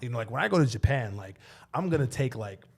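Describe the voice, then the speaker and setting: A man's voice: mid-range voice, expressive, fast, creaky, breathy edge. A man in his thirties hosting a podcast, talking loosely with lots of "like" and some mild swearing. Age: 30s. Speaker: podcast host. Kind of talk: casual podcast interview.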